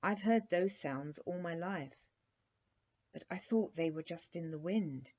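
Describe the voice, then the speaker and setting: A woman's voice: on the low side, measured pace, a little mellow. A woman voicing an eager, forthright young princess in a storybook, direct and full of questions. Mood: earnest. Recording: dull, muffled lo-fi recording.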